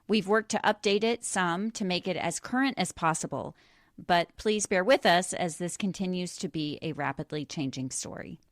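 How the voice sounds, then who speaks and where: A woman's voice: fairly low pitch, normal speed, dry recording, clean studio sound. A woman podcast host reading a scripted introduction.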